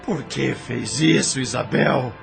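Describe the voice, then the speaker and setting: A man's voice: mid-range voice, takes it slowly, gravelly, soft background music. A man with a Brazilian Portuguese accent voicing an imprisoned doctor who pleads in anguish in an audio drama. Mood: desperate.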